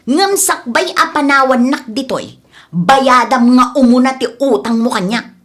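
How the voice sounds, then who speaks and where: Woman acting a high-pitched, feisty scorned young woman. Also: expressive, a little slow, a bit bright. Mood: indignant, scornful. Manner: exclamatory, sarcastic, melodramatic. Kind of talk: Ilocano comedy drama acting.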